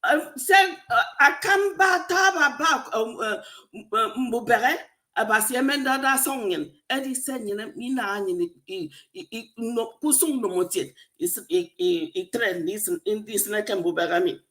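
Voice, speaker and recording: A woman's voice: on the high side, animated, moderate pace, sharp tone. A Nigerian woman, a native Efik speaker, co-hosting a casual online discussion with a Nigerian accent. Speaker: co-host of a discussion show. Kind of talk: casual online panel chat.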